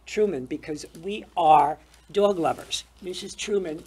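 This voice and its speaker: A man's voice: sits high, a little slow, darkish tone, breathy edge. Chatty older male talk show host. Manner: chatty, laughs often, stumbles and repeats words. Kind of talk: casual interview chat. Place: small TV studio set with bookshelves.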